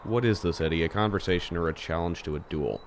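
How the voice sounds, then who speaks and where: A man acting a level-headed, popular teenage schoolboy and reluctant hero in an amateur audio drama, calm, dry and reasoning. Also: deep voice, fast talker.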